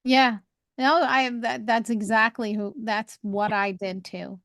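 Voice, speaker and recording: A woman with a higher voice, co-hosting a podcast and talking openly in a rambling, candid way. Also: level tone, slow pace, fairly bright tone, dry recording, clean studio sound. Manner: rambling, restarts, laughs often. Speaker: podcast co-host. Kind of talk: candid conversational chat.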